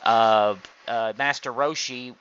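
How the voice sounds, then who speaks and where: A man with a bright, clear voice of medium pitch hosts a casual group discussion. He explains his opinions at length in a rambling, conversational way. The sound is slightly dull, like an online voice call. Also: fairly even intonation, takes it slowly. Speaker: podcast host. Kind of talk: casual group chat about anime and card games. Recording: dull, lo-fi voice chat.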